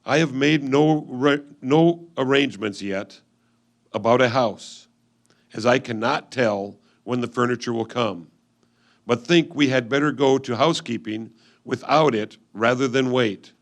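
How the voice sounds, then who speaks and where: Man playing earnest frontier husband, 1850s letter writer, measured formal delivery, mid-range pitch, reader's theatre. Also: gravelly. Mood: earnest, businesslike.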